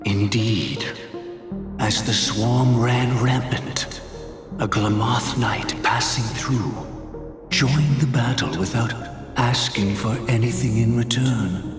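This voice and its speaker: An actor playing a solemn, ruthless schemer in a video game, speaking in grave, grandiloquent, formal phrases. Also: male voice, medium pitch, takes it slowly, natural voice. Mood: cold, solemn. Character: solemn, ruthless older male schemer of lofty purpose. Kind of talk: theatrical video game voice acting. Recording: echoey, music underneath. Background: dramatic game music.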